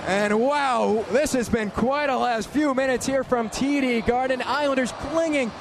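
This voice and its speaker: High-pitched excitable hockey play-by-play man. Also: a little slow. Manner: excitable, urgent, clipped running description of action. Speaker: radio play-by-play ice hockey commentator. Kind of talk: live sports play-by-play commentary. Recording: radio broadcast with loud arena noise. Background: loud ambience of a hockey arena.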